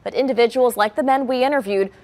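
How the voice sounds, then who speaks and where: A woman's voice: fairly high pitch, quick pace, a little mellow. A woman reporter asking direct interview questions and closing a news report. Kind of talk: news interview and anchor close.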